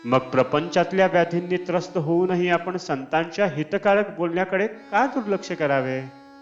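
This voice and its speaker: A man reading a scripted spiritual discourse in a measured, deliberate manner. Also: high voice. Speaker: reader of devotional discourses. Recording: dull lo-fi, Indian classical music behind. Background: Indian classical instrumental music.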